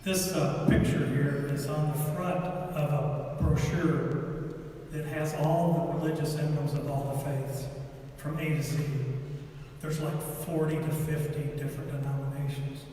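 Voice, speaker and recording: A man's voice: fairly high pitch, flat delivery, a little slow, dark tone, a little hiss or hum. A man giving a scouting ministry slide presentation to a church men's group, talking in a loose way with many fillers, saying he is losing his voice. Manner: rambling, filler-heavy presenting. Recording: echoey hall, mic far off.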